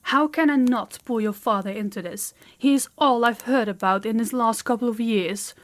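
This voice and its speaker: A woman's voice: a little high, moderate pace, close to an everyday voice. A woman playing a commanding, haughty young princess in a scripted fantasy audio roleplay, speaking in an imperious, condescending way. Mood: stern, exasperated.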